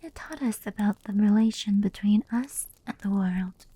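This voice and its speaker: A woman playing a gentle fox-demon yokai in an ASMR roleplay, telling stories slowly and intimately in a soft, breathy whisper. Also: medium pitch, natural voice, low-quality recording. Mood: hushed storytelling. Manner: slow, intimate, unhurried.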